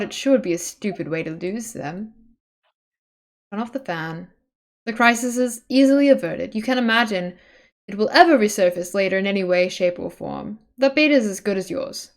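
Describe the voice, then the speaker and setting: A woman's voice: mid-range voice, a little fast. A woman streamer reading a webcomic aloud, mixing dramatic narration with casual, chatty asides.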